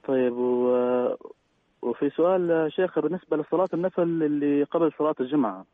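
Quick-talking man on a tinny phone line. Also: on the high side, no room sound. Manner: polite, hesitant, many fillers. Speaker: caller. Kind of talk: phone-in question. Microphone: telephone.

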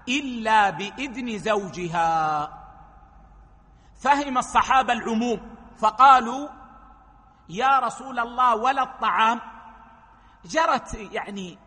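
A man gives a religious lesson in a high, bright voice, laying out each ruling step by step. The sound carries a long reverb from a hall. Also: expressive, unhurried, pauses often. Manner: explains rulings step by step, quoting hadith. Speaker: preacher.

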